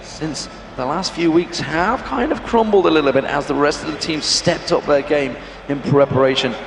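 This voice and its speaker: A man doing brisk esports play-by-play commentary on a headset at a packed convention hall. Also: fairly high pitch, gravelly. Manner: brisk, excitable hype. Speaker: esports caster. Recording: echoey arena with crowd noise.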